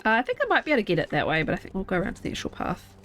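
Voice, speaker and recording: A woman's voice: mid-range voice, huge pitch swings, racing, fairly bright tone, soft background music. Stuffy-nosed woman chatting through gameplay. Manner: chatty, thinking aloud while playing. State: stuffy nose, congested. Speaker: game streamer. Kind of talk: casual live gameplay chat. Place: at home.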